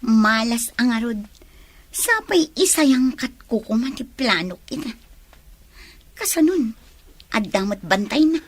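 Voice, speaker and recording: A sly, teasing woman accomplice , as an actress voices her, with a fairly high voice that leaps up and down. She plots in a conspiratorial radio-play manner. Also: expressive, unhurried, long pauses, breathy edge. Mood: plotting. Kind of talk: radio drama acting.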